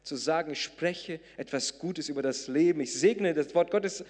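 A man's voice: fairly high pitch, normal speed, a little mellow. A man with Portuguese as his first language, preaching a sermon to a congregation in a conversational way, with many fillers and restarts that rise to emphatic moments. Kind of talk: sermon with a personal story. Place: church hall before a congregation. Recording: long hall reverb.

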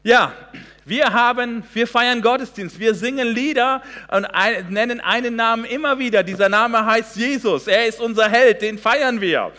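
A man's voice: extremely high pitch, normal speed, bright, forceful tone, big-room sound. A man in his forties, from Hesse, giving a sermon as a guest preacher, earnest and emphatic, with frequent fillers and restarts. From Hesse, Germany. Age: 40s.

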